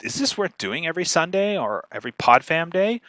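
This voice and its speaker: A man with a slightly high, bright voice talks as a guest expert in a close, dry recording. He explains casually and emphatically, often checking that the listener is following. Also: very animated, fairly quick, no room sound. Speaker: guest speaker, podcast marketer.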